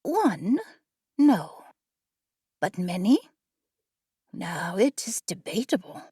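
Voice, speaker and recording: A woman's voice: mid-range voice, sing-song, warm tone, husky, no room sound, studio quality, full character voice. A woman voicing a clever, bookish female traveler in an audiobook, earnest and precise with a doubtful edge. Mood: bargaining. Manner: earnest, haltingly questioning, precise wording. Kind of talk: audiobook character voice.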